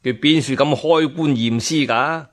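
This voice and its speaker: A man's voice: on the high side, unhurried. A man with a Cantonese accent narrating as a storyteller in a dramatic, traditional radio style.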